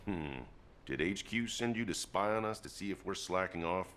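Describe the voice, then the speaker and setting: Gruff squad leader man from a game, real low voice, no nonsense, faint background noise. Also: expressive, normal speed, fairly bright tone. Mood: dry, suspicious. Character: gruff, responsible squad leader, looks after team. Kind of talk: video game voice acting.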